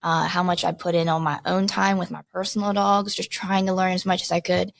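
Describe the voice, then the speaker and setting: A soft-spoken woman, a police dog handler, chatting casually as a guest on a podcast, with relaxed fillers. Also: fairly low pitch, fairly quick, creaky, dry recording.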